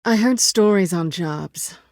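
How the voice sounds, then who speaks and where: A woman's voice: fairly low pitch, slow, no room sound, studio quality. A woman voicing a sly, self-assured cat burglar in a video game, with a sultry, wry, coolly teasing way of talking. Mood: wary, dry. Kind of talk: video game voice acting, dramatic dialogue.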